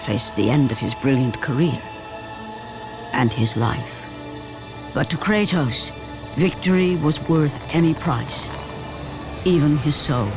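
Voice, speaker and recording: A man's voice: fairly high pitch, animated, slow pace, long pauses. A man giving grave, dramatic scripted narration for a video game. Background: music.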